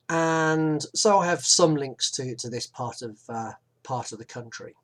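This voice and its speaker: A man narrating a local history video in a chatty, informal way. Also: fairly high pitch. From West Midlands, England. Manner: chatty, relaxed, with fillers and asides. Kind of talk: informal explanatory narration over maps.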